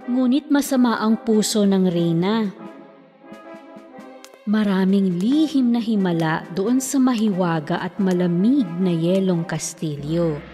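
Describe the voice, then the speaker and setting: A woman's voice: mid-range voice, measured pace, dark tone. A woman storyteller reading a scripted children's fairy tale as narrator, with long reverb on the voice. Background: faint music.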